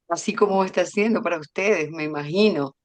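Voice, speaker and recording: Expressive Venezuelan-born Spanish woman teaching. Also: lowish voice. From Venezuela and Spain. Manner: expressive, warm storyteller who acts out dialogue. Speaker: teacher giving a workshop. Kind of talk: informal spiritual talk.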